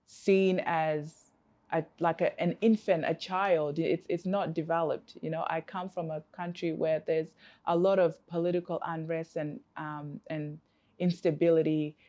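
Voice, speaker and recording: A woman's voice: deep voice, steady tone, unhurried, dark tone. A woman from Africa, a coach, answering interview questions in an earnest, reflective way with many fillers and restarts.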